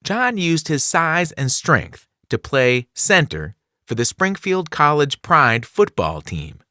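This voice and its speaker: A man reading a children's nonfiction book aloud as scripted narration, enunciating clearly with a dramatic storytelling delivery. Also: on the high side, sing-song, slow pace, sharp tone, dry recording, studio quality. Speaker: audiobook reader.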